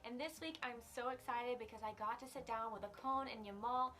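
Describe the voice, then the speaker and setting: A woman's voice: a little high, steady tone, brisk, a bit bright. A woman hosting her show with bubbly enthusiasm, interviewing guests at a friend's house. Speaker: show host. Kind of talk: upbeat vlog hosting and interviewing. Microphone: camera mic away from the speaker. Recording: echoey room, distant mic, faint noise.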